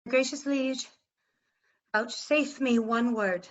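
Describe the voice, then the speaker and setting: A woman's voice: fairly high pitch, slow. A woman playing a proud, scornful, warlike queen in a Shakespearean verse reading, with an imperious, cutting delivery. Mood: urgent. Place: remote reading over a video call. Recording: dull, muffled video-call audio.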